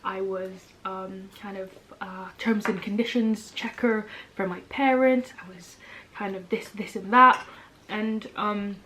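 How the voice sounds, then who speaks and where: A woman's voice: medium pitch, fairly even intonation, unhurried, sharp tone, slight background noise, low-quality recording. A young woman chatting casually while eating, rambling with lots of "um" and "like" fillers. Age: young adult. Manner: rambling, many "um", "like", "kind of" fillers. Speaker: vlogger, older sister.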